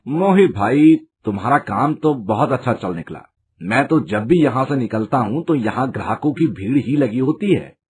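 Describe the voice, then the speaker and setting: A man's voice: medium pitch, big pitch swings, normal speed, darkish tone, slight background noise, close to an everyday voice. A man playing an envious, ingratiating friend who sweet-talks in a storybook tale. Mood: flattering. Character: envious, sweet-talking man feigning hardship to his friend. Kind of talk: storybook character dialogue.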